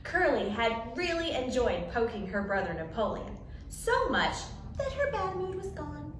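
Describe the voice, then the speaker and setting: A woman with a high voice reads a children's story expressively. She takes her time, pausing for drama and leaning on key words. The recording is reverberant and a little distant. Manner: expressive, with dramatic emphasis and pauses. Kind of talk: animated picture-book read-aloud for children. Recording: echoey room, distant mic, light noise.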